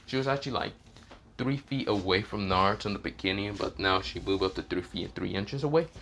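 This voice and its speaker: A man who is sick and coughing, telling a fan fiction story in a rambling, halting way, often restarting with "this is where, well". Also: on the low side, lively intonation, a bit bright, a little hiss or hum.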